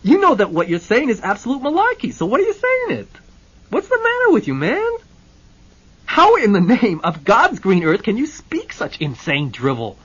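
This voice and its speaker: A man voicing a hot-tempered, indignant man who sputters and shouts, on a muffled lo-fi recording. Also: high voice, wildly swooping pitch, moderate pace, slightly rough, voice pushed up, exaggerated voice. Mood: outraged.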